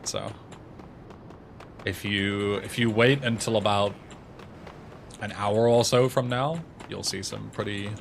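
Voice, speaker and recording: A man's voice: on the low side, steady tone, slow pace, gravelly, pauses often, a little hiss or hum. Chatty English guy in his twenties. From England. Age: 20s. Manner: chatty, answers viewers' messages aloud between gameplay talk. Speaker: streamer and speedrunner. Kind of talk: casual livestream chat.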